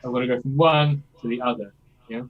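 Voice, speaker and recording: A man's voice: medium pitch, fairly even intonation, a little fast, phone-quality sound. A man coaching a singer in a masterclass, explaining things and checking in with "yeah?" as he demonstrates lines himself. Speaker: vocal coach.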